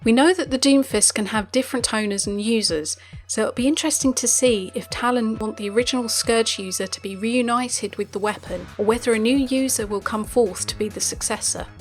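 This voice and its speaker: A woman's voice: on the high side, fairly quick, faint music behind. A woman reading scripted explainer narration as the presenter of a game lore video.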